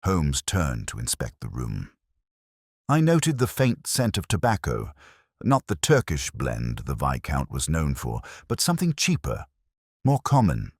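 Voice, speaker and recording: A man's voice: very deep voice, huge pitch swings, a little slow, husky, dry recording, studio quality. A man giving a scripted, literary narration of a detective story as an audiobook narrator.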